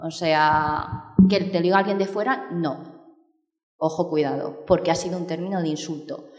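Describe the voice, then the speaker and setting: A woman's voice: fairly low pitch, steady tone, moderate pace, darkish tone. Woman talking in an echoey room. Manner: reflective, many fillers and restarts. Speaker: documentary filmmaker. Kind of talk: thoughtful interview talk. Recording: echoey room with long reverb.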